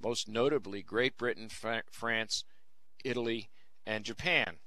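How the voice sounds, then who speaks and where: Guy lecturing slow and deliberate, bright tense voice. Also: on the low side, no echo. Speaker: presenter.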